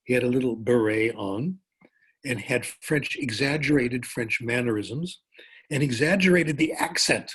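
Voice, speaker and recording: Older man with a husky voice, lecturing. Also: medium pitch, measured pace. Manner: relaxed storytelling with asides and self-corrections. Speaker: professor giving a conference talk. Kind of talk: lecture, conversational with anecdotes. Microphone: remote talk over a conference call.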